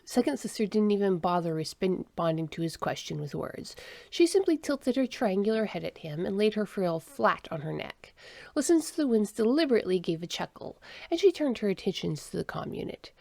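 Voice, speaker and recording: A woman narrates a piece of fiction in a scripted read. Her voice has noticeable creak, and the recording is very dry and close. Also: medium pitch, big pitch swings, a little fast, a little mellow, dry recording. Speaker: audiobook narrator.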